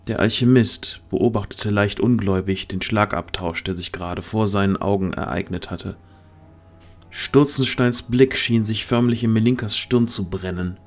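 A man's voice: on the low side, moderate pace. A man reading scripted narration for a fantasy audio drama.

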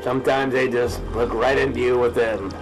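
A man's voice: mid-range voice, level tone, normal speed, lightly acted, poor audio. Man playing a grave old-salt fisherman over music. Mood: grave, haunted. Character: grizzled veteran muskie fisherman recounting a grim ordeal, spoofing a salty sea hunter. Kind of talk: parody radio drama monologue.

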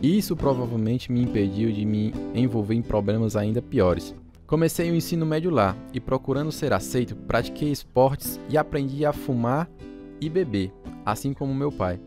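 A man's voice: medium pitch, moderate pace, over music, lightly acted. A man playing a grown man soberly recalling his youth, narrating in a radio drama. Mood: reflective. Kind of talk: scripted first-person narration.

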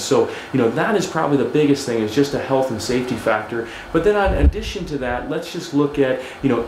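A man's voice: medium pitch, a little mellow. A man in his forties gives a training lecture while writing on a flip chart and talks plainly in a mid-range voice. The room adds a noticeable echo, and a faint ambient hiss sits underneath. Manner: conversational, repeats words, asks "right?" often; stands and writes on a flip chart while talking. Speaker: HVAC business trainer. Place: studio with a dark backdrop, indoors.

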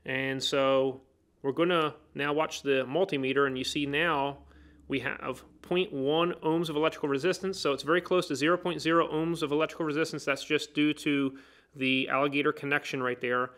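Man calmly explaining thermostat wiring. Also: a little high, normal speed. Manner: plain, step-by-step explaining with frequent "so" and "now". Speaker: HVAC trainer. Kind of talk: hands-on technical demonstration. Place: indoor workbench.

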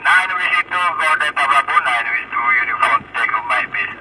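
A Malaysian man talks casually over a two-way radio link. His mid-pitched voice sounds far from the microphone, with very loud vehicle noise around it. He speaks as an amateur radio operator in an informal exchange. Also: lively intonation, moderate pace. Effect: two-way radio transmission.

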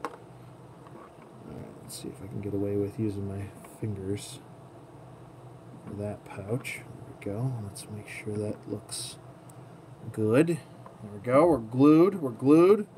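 A man's voice: fairly low pitch, slow pace, long pauses. A man giving a casual hands-on tutorial as a hobby host, at times murmuring to himself as he works. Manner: murmurs to himself while working with his hands. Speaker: toy collector host. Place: indoor tabletop setup. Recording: clearly noisy room ambience.

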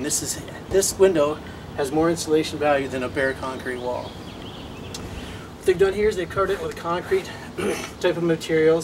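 A man in his thirties giving a home improvement walkthrough outside a house and in a basement, explaining step by step and correcting his own slips. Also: on the high side. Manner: explanatory, trips over words then corrects. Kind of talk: instructional walkthrough. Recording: roomy, distant, faint background hiss.

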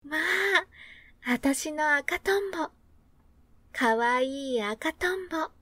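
A woman voicing a sweet, kind little girl in a storybook reading, with a high childlike voice. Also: animated, slow, a bit bright, no room sound, exaggerated voice. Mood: affectionate.

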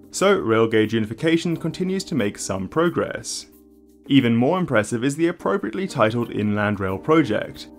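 A young man from South Africa with a mixed South African and Australian accent, hosting a video that moves from scripted narration to a casual question and answer session. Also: medium pitch. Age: young adult. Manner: clear, measured delivery with casual fillers in Q&A. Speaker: YouTube host.

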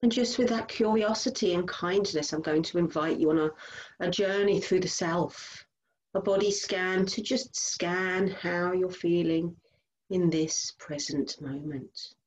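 A woman with a slightly low voice guides a body scan meditation. She gives gentle instructions in short, spaced phrases, like a yoga teacher settling a class. The recording is a little dull and lo-fi. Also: a little slow, slight background noise.

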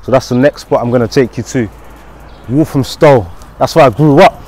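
A man's voice: mid-range voice, normal speed. A young man with a London accent, talking casually in slang and swearing, recorded outdoors with a clip-on mic. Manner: slangy, swearing, chatty, shout-outs. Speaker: vlogger. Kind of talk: casual walk-and-talk vlog. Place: outdoors on streets by a school, then a garden patio.

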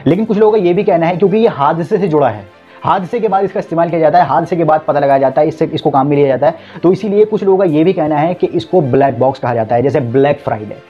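A man in his twenties explains things to viewers at a quick pace. He goes point by point, stressing and repeating as he goes. The recording is a little dull and lo-fi, with music playing underneath. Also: a little high, husky. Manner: repetitive, emphatic point-by-point explaining. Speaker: presenter of an explainer video. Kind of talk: educational explainer to camera. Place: indoors, small room with a plain wall. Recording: dull lo-fi sound with music behind. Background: background music.

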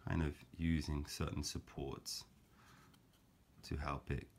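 A man calmly explaining a paper craft step by step, in a gentle, measured way with frequent fillers. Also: rumbling low, creaky, a little hiss or hum. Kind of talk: calm step-by-step craft tutorial.

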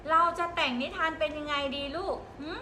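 Woman preschool teacher, high voice, patient prompting, roomy and noisy. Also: level tone, fairly quick, pushed and bright. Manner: patient, coaxing questions to small children. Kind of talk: guiding young children to make up a story. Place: classroom.

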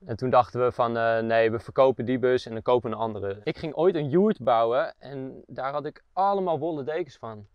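Relaxed Dutch man touring his van. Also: medium pitch, brisk, few pauses, smooth, dry recording, lo-fi sound. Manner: relaxed, hesitant with many fillers, laughs often. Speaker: van dweller giving a tour. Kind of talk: casual tour chat. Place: inside a small camper van, outdoors by it.

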